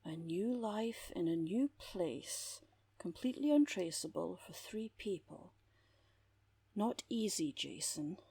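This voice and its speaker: A woman playing a composed, wry underworld therapist with a husky voice and measured, formal delivery in an audio drama. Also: on the low side, lively intonation, mellow, exaggerated voice. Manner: measured, dry, formal wording. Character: composed, wry female underworld therapist who makes people disappear. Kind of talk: audio roleplay drama acting.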